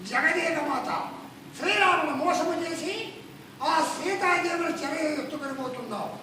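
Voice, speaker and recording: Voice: very high voice, normal speed, bright, forceful tone. A puppet-theatre performer voicing a righteous, defiant vulture king, declaiming sternly in Telugu folk style. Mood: defiant, threatening. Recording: echoey noisy space, far from mic.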